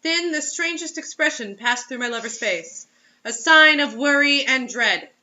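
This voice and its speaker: A woman's voice: high voice, expressive, unhurried, bright, forceful tone, highs cut off. A woman giving a theatrical, giggly, mocking read-aloud of amateur fiction, with asides. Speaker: amateur reader of bad fiction.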